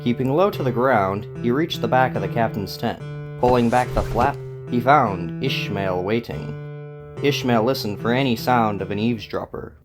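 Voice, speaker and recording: A man narrates a scripted story as its host. His voice sits at an average pitch, and plucked guitar plays softly behind him. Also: normal speed.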